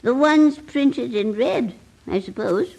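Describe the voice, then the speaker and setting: A woman's voice: a little high, lively intonation, vocal fry, darkish tone, heavily acted, poor audio. A woman playing an ancient, eccentric, kindly and sly aunt in a radio comedy, dithery and hesitant. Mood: dithery, vague. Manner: dithery, hesitant with many ums and pauses.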